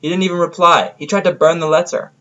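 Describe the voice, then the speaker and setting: A man's voice: a little high, fairly even intonation, fast talker, bright, forceful tone, dull audio, voice pushed up. A man voicing a laid-back, teasing female unicorn pony in an audio drama, casual and dry. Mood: exasperated.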